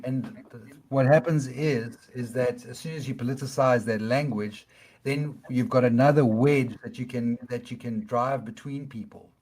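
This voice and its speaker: A man's voice: mid-range voice, darkish tone, low-quality recording. A middle-aged man with a Cape South African accent, a guest explaining things in an interview, often restarting and checking in with "okay?". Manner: halting, many restarts, tags points with "okay?".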